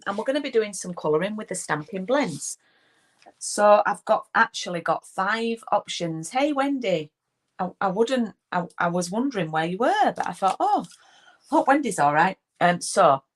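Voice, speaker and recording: A woman with a broad Yorkshire accent, hosting a casual live craft stream and greeting viewers by name as she chats. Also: on the low side. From Yorkshire, England. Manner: chatty, greets viewers by name, frequent ums and restarts. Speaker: card-making demonstrator hosting a live stream.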